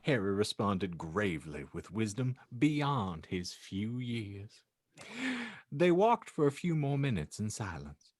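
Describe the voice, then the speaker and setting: A man's voice: on the low side, big pitch swings, slow pace, no echo, studio quality. A man narrating a fan fiction aloud as a podcast host, reading theatrically with wry comic asides. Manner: theatrical, wry, breaks into laughter. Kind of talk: comedic dramatic reading with asides.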